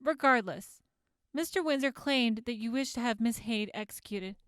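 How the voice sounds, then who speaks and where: A woman's voice: on the high side, animated, moderate pace, fairly bright tone, clean tone, dry recording, studio quality. An actress playing a composed woman prosecutor who questions formally and pointedly in an audio drama courtroom. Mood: probing, measured.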